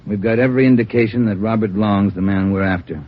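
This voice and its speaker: An actor plays a steady police lab chief who lays out the facts calmly and in order. The voice sits somewhat low. It comes through a dull old radio broadcast. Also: male voice, quick pace, close to an everyday voice. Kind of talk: 1950s radio drama acting.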